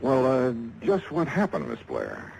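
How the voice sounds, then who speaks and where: Hardboiled insurance investigator, 1950s radio drama; wry, brisk, very animated delivery. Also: male voice, medium pitch, gravelly, slight background noise, full character voice, poor audio. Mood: probing. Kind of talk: 1950s radio drama acting with first-person narration. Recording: vintage 1950s radio recording.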